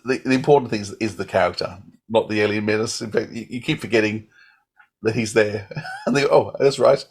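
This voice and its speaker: Guy on a podcast panel, kinda low voice, opinionated, stumbles into sentences repeating words. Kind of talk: casual opinionated discussion.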